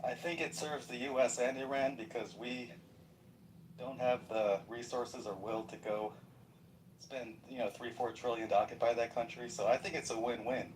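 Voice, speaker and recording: A man on a podcast panel talking about politics in an animated, astonished way, with stumbling restarts. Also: mid-range voice, normal speed. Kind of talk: political discussion. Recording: distant mic, clear background noise.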